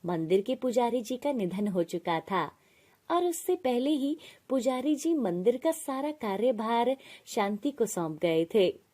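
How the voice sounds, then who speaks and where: A woman's voice: fairly high pitch, expressive, measured pace, warm tone. A woman reading a scripted moral story as its narrator.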